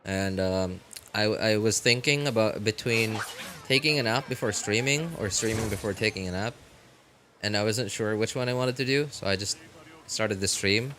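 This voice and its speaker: A man's voice: on the low side, moderate pace, fairly bright tone, a little hiss or hum. A man chatting casually on a livestream as a game streamer, rambling in slangy talk and often repeating his phrases. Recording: music behind the voice. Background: background music.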